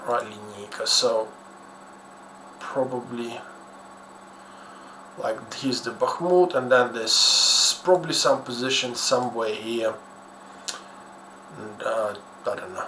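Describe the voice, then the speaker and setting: A man's voice: medium pitch, slow, long pauses, sharp tone. A man from Ukraine with a Ukrainian accent gives casual, unscripted commentary in a hesitant, rambling, blunt and self-deprecating way. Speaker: YouTuber host. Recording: echoey room with faint background noise.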